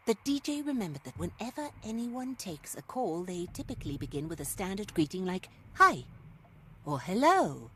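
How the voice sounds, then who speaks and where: A woman playing a wry, knowing narrator in a video game, deliberate and scripted. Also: fairly low pitch, a little hiss or hum. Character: wry storybook narrator watching over a novice radio DJ.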